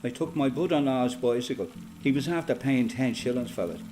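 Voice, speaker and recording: A man with an Irish accent playing a resolute, plain-spoken freedom fighter giving a matter-of-fact, measured first-person testimony in a radio play. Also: mid-range voice, darkish tone, a little hiss or hum, close to an everyday voice. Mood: matter-of-fact recollection.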